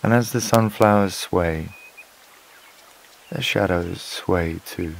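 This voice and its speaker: A man narrating a scripted sleep meditation in a calm, soothing, unhurried way, with long pauses between phrases. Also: low voice, vocal fry, a little hiss or hum. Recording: water and rain sounds behind voice. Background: flowing stream, rain-like ambience.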